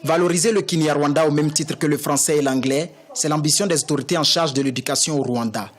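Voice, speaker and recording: A man reporting as a news correspondent, reading a scripted piece in a brisk, formal way. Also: a little high.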